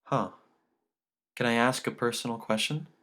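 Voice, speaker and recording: A man's voice: medium pitch, normal speed, sharp tone, close to an everyday voice. Man reading as a terse, melancholy woman singer. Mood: quiet, curious. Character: melancholy female singer, blunt and questioning. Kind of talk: audiobook character dialogue.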